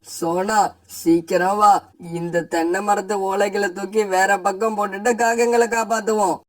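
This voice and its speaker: A caring male sparrow from an animated bird fable, played by a man. The voice is high for a male and dry in sound, with a sensible manner. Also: moderate pace, no room sound. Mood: urgent. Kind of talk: children's cartoon story dialogue.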